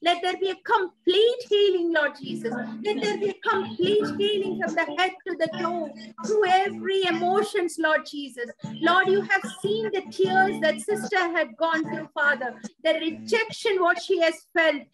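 A woman with a high voice prays aloud over a gathered crowd, pleading in repeated phrases. Her lines rise with urgency and then fall away into quiet, low refrains. The prayer is spontaneous and fervent. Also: moderate pace, poor audio. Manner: repetitive, ends phrases with soft low 'Lord Jesus'. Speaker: prayer minister.

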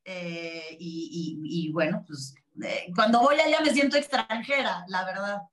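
A woman's voice: medium pitch, measured pace. Chatty woman on an echoey video call. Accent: Mexican Spanish. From Spain. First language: Catalan. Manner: chatty, animated, many restarts. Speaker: interview guest, TV presenter. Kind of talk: casual interview chat. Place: small room, video call.

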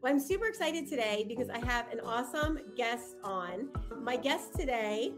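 Bubbly woman podcast host, fairly high voice, light music under her. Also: expressive, normal speed. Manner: bubbly, enthusiastic, laughs easily. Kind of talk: casual podcast interview.

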